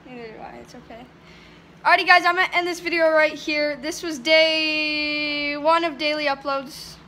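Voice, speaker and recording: A woman's voice: high voice, steady tone, moderate pace, bright, forceful tone, a little hiss or hum. A young woman vlogging casually about her trip, chatty and giggly, filmed on a phone at arm's length in a hotel room and a car. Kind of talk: casual travel vlog. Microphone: phone held at arm's length.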